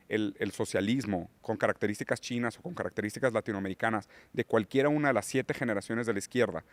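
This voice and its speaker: A man's voice: mid-range voice, no room sound. A man who is a guest on a political podcast, lecturing on theory and rising into emphatic points. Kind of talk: political conversation.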